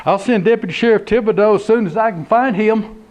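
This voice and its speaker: A man voicing a polite female sheriff's dispatcher who speaks briskly and formally. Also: high voice, a little mellow, pitched above the performer's own voice, natural voice. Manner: brisk, formal, keeps saying ma'am.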